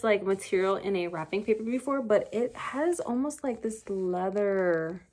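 A woman's voice: mid-range voice, a little mellow. A young woman in her twenties filming a casual shopping haul in her cluttered room at home, chatting loosely with lots of fillers. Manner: chatty and rambling, many fillers. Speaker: vlogger. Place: bedroom beauty room at home, indoors. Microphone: camera on desk.

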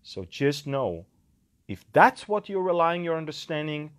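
A man's voice: on the high side, very animated, unhurried, fairly bright tone. A middle-aged man from Israel delivering opinionated current-events commentary straight to camera, emphatic and repeating words for stress. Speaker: ministry leader giving news update. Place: bright furnished room indoors, seated by a window.

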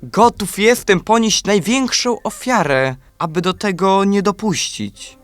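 A man's voice: high voice, measured pace, fairly bright tone, dry recording, faint music behind. A man playing a devoted, sorrowful young prince, earnest and solemn, in a children's radio play. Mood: desperate.

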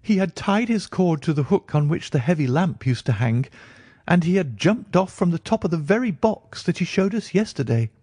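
A man voicing a frantic, high-strung middle-aged doctor in a storybook reading. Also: big pitch swings, normal speed, mellow, no room sound, studio quality. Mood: distraught. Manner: breathless, high-strung, wailing.

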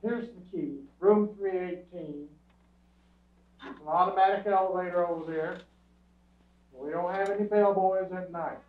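Weary hotel clerk, male actor, slow and halting, dry radio-comedy delivery, tinny echoey recording. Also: sits high. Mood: dry, unimpressed. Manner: halting, deadpan. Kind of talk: old-time radio comedy acting on stage. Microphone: distant camera. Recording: tinny, echoey stage from far off.

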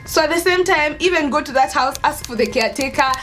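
A young adult woman with a Kenyan accent, telling a story in casual vlog style, expressive and emphatic, repeating words for stress. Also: high-pitched, fast, faint music behind. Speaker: vlogger, storyteller. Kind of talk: casual storytime chat to camera.

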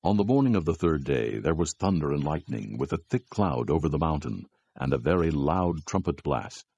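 A man narrating scripture from a script, with a gravelly voice and a measured, grave delivery. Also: a bass voice, animated, a little mellow, lo-fi sound.

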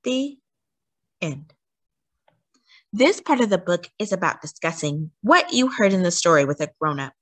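A woman reading aloud to children as a storyteller, with an animated, expressive delivery that acts out the story. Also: fairly low pitch, unhurried, pauses often. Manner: animated, acting out sighs and cheers.

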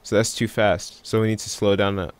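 A man giving a casual software tutorial in an offhand, unscripted way, thinking aloud as he works. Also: fairly low pitch, flat delivery, a little fast, clean tone. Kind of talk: casual screen-recording tutorial.

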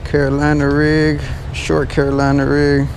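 A man with a gravelly, mid-pitched voice walks through rigging live bait in a matter-of-fact way. He is outdoors on the water, with clear noise from the water and a motor around him. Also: monotone, moderate pace, poor audio. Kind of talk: casual commentary while fishing.

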